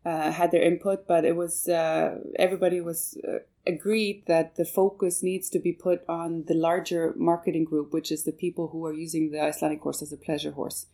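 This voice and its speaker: Low, gravelly woman guest with many fillers. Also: flat delivery, a little fast, few pauses, mellow. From Canada. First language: German. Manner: hesitant, with many fillers and restarts. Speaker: guest, manager of a marketing project. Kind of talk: interview answers.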